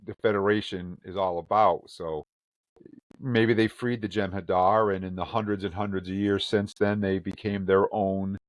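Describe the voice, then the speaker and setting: A man's voice: low-pitched, level tone, moderate pace, no room sound. A man hosting a fan podcast, chatting casually and reading news items aloud. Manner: chatty, reads articles aloud with quotes. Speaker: podcast host.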